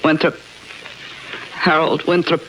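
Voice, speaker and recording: A woman's voice: low voice, little pitch movement, slow, fairly bright tone. An actress playing a frightened, flustered woman in a 1950s radio melodrama, hesitant and tremulous, breaking off mid-sentence. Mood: subdued, guarded. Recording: noisy 1951 radio broadcast.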